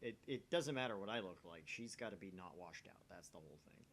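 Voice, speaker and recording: A man's voice: low-pitched, expressive, quick pace, fairly bright tone, slight background noise. A man who hosts gaming videos, reading a story aloud with casual banter in between.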